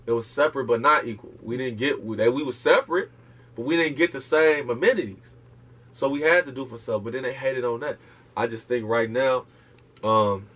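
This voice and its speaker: A man speaking, lecturing emphatically and profanely as an interview guest, firing off rhetorical questions. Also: mid-range voice. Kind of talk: impassioned casual interview talk.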